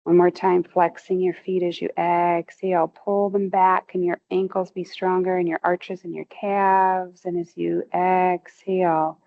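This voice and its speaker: A woman leads a guided muscle relaxation with slow, soothing cues, her voice rising with emphasis each time she calls for tension. Her tone carries a gravelly fry, and the recording sounds somewhat muffled. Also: lowish voice, flat delivery. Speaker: movement instructor.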